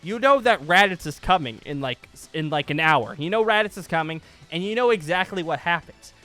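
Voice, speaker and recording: A man hosting a casual, excited gaming vlog, rambling along and repeating phrases for emphasis. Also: on the high side, brisk, soft background music. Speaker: YouTube host pitching a gaming roleplay series. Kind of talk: casual excited vlog chat over gameplay.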